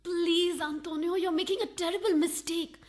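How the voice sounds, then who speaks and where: Unfaithful wife played by an actress, radio farce: high voice, anxious, pleading, dramatic. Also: female voice, fairly even intonation, moderate pace. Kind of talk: farcical radio play acting.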